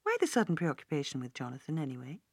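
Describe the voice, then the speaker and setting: A woman's voice: low-pitched, huge pitch swings, fast talker, husky, no echo, studio quality, pitched below the performer's own voice, full character voice. A woman reading an audiobook as an easygoing, curious businessman with a persuasive, conversational manner. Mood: curious, casual. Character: easygoing, inquisitive businessman son, casual and persuasive. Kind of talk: audiobook character dialogue.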